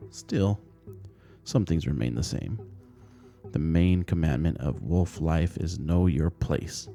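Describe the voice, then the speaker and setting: A man's voice: rumbling low, creaky, warm tone. A man reading a short story aloud as scripted audiobook narration.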